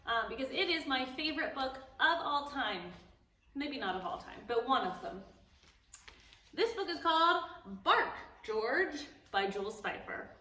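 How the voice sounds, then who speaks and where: Female kids' storytime host, encouraging, slow and bright; roomy sound. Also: sits high, recorded from a distance. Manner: warm, animated, encouraging to children. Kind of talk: preschool storytime.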